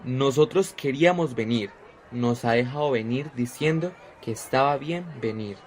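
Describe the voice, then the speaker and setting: A devout young disciple, voiced by a man who speaks with fervent sincerity in a mid-pitched, even voice. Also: normal speed, a little hiss or hum, close to an everyday voice.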